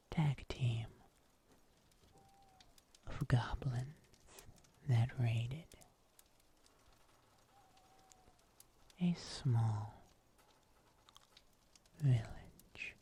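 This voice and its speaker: Seasoned fantasy huntsman, played by an ASMR performer: hushed breathy whisper, mellow, very unhurried storytelling. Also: medium pitch, heavily acted. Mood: quietly proud. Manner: slow, deliberate, hushed storytelling with long pauses.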